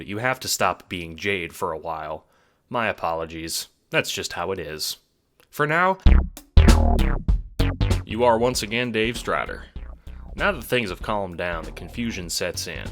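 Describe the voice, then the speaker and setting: A man narrating an audio drama in the second person with a wry, theatrical, sardonic manner. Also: sits low.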